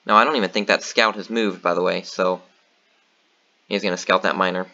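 Low-voiced man thinking aloud over a game. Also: a little fast, lo-fi sound. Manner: thinking aloud, relaxed, many fillers. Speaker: game commentator. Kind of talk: casual gameplay commentary.

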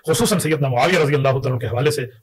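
A man's voice: medium pitch, very fast, slight background noise. A man in his thirties with a Pakistani accent, talking insistently and argumentatively on a podcast. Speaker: podcast guest, mufti. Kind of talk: podcast conversation. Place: indoor podcast studio. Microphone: close boom-arm podcast mic.

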